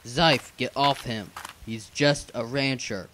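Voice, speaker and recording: A man playing a dutiful, level-headed assassin in an amateur animated fantasy series, speaking in a measured, formal, firm way. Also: mid-range voice, a little hiss or hum. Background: music.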